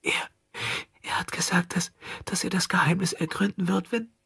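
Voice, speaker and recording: A man voicing a traumatised, guilt-ridden young male in an audiobook, speaking in a halting, breathy, trembling whisper. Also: high-pitched, level tone, pushed and bright, dry recording, studio quality, pitched above the performer's own voice, heavily acted. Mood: anguished, fearful. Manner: halting, repeats words in distress. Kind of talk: audiobook character dialogue.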